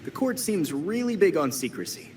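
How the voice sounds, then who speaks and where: An actor playing a guarded, sharp-tongued teenage vigilante in a video game. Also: male voice, high voice, sing-song, moderate pace. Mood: earnest. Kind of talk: conversational video game acting. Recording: clear background noise.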